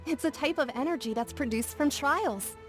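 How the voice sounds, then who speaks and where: A woman's voice: high-pitched, moderate pace, soft background music, close to an everyday voice. A woman playing a friendly, practical female workshop merchant in a video game. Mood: explaining.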